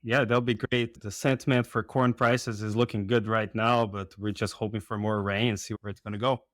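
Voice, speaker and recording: A man's voice: lowish voice, little pitch movement, moderate pace, fairly bright tone, dry recording, studio quality. A man with a Brazilian accent mixed with a Minnesotan one, a dairy consultant chatting casually and upbeat on a podcast, sometimes repeating words as he starts a thought. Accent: Brazilian Portuguese mixed with Minnesotan.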